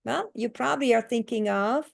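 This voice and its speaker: A woman's voice: a little high, moderate pace, gravelly. Woman, earnest and explanatory. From Hungary. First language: Hungarian. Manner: earnest, explanatory, lively with many fillers. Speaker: guest author and educator. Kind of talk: podcast interview.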